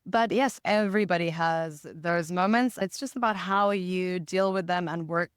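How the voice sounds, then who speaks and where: A woman who grew up in Botswana speaks as an interview guest, her voice crisp and on the low side. She is articulate and measured, with a positive way of putting things. The sound is close and dry, with very little room in it. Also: no echo. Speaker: podcast guest, marketing director. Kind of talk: reflective interview answers.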